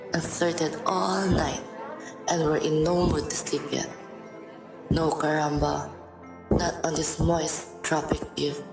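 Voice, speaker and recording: Female narrator, scripted dramatic storytelling, low pitch, roomy echo over music. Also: vocal fry.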